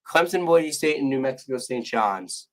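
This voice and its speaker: A hoarse young man co-hosting a casual sports podcast, losing his voice and restarting his sentences as he rambles on. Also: mid-range voice, lively intonation, normal speed. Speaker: podcast co-host.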